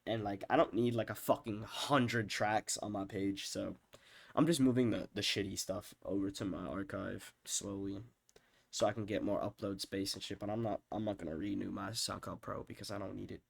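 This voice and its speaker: A young man with a stuffed-up, nasal voice from being sick, rambling casually with profanity and lots of fillers, often trailing off quietly while he ranks his own tracks. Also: fairly low pitch, steady tone, moderate pace, creaky. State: congested, sick with stuffed-up nose. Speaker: music producer ranking his own songs. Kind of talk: rambling casual screen-share commentary.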